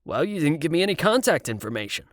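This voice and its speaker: A man's voice: a little high, big pitch swings, quick pace, no room sound, clean studio sound, voice pushed up. A man reading aloud and voicing a nervous, evasive male TV producer. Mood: wheedling.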